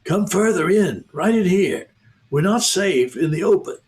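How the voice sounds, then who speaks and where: A man doing a hoarse whispering beaver. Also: animated, unhurried. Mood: urgent hushed. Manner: urgent, hushed, raised higher. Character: wary whispering male beaver, secretive and earnest.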